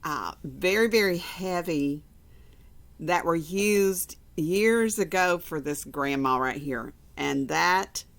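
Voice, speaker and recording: Woman, children's storybook reader, conversational, unhurried, bright tone, repeats for emphasis. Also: fairly low pitch, creaky. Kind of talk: informal read-aloud to children with chatty asides.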